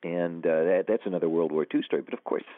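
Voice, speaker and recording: A man, an author being interviewed, talking in a halting, somber way with many restarts. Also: low voice, no room sound. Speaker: author guest. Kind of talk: casual interview talk. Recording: tinny phone line.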